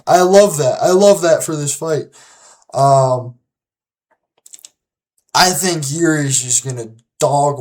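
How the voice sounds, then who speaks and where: A host giving relaxed solo commentary and predictions in an unhurried, drawn-out delivery at a middle pitch. The speech is loose, with many restarts and repeated words, and it grows confident and emphatic whenever the host makes a prediction. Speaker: host of a fight-prediction channel.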